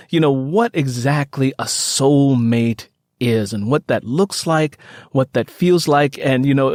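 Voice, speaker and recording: A man's voice: medium pitch, a little mellow, dry recording, clean studio sound. An American man hosting an interview, speaking in an earnest, reflective and discursive way with frequent filler phrases. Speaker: podcast host, interviewer.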